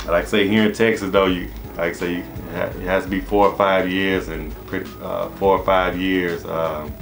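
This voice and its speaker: An American man with a deep voice gives informal advice as a channel host. He rambles and repeats himself in a casual, conversational way. Music plays behind his talk. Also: level tone, normal speed, vocal fry. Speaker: HVAC business coach and channel host. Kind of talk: casual advice monologue to camera. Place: small indoor office.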